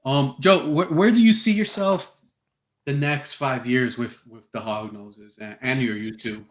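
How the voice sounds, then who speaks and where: Podcast host dude from south florida, mid-pitch voice, kinda echoey room, lots of um's. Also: male voice, a little slow. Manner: relaxed, hesitant, frequent "um" and "you know". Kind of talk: casual podcast interview.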